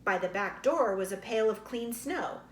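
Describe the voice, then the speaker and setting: A woman's voice: medium pitch, lively intonation, moderate pace. A woman reading a children's book aloud expressively and unhurriedly, like a teacher explaining to young listeners. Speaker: read-aloud storyteller, teacher. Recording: roomy echo, faint background noise.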